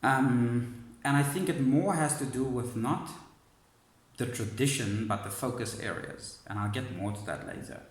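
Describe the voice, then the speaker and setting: A man telling a personal story in a thoughtful, searching way, often pausing and restarting his sentences. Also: medium pitch, animated, echoey room. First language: not Arabic. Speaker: vlogger sharing personal story. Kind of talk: reflective personal monologue.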